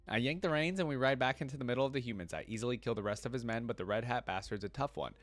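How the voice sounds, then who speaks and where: A man's voice: mid-range voice, fast talker, fairly bright tone, dry recording. A man hosting a reaction video, reading a story aloud and commenting casually on it as he goes.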